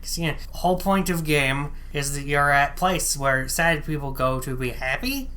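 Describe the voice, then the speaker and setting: Very low-voiced woman, dry, casual. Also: expressive, normal speed, a bit bright. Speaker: podcast co-host. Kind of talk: casual chat about video games.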